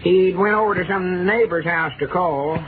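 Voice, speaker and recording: A man's voice: sits high, moderate pace, natural voice. An actor playing a kindly, folksy rural man with a Southern American accent in 1940s radio drama style. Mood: neighborly. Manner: folksy, homespun country talk. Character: kindly rural old-timers trudging through snow on charity errand. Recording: tinny, noisy old radio broadcast recording.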